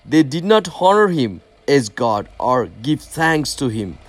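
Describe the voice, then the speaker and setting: A man from Nepal with a Nepali accent, a native Nepali speaker reading scripture very slowly and stumbling over hard words. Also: mid-range voice, sing-song.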